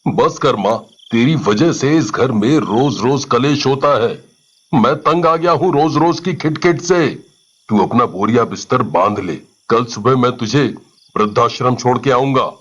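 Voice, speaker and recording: A man's voice: mid-range voice, a little slow, slightly rough, muffled recording. A man playing a callous, fed-up adult son and husband in a horror story, with an exasperated way of talking. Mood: fed up, cold.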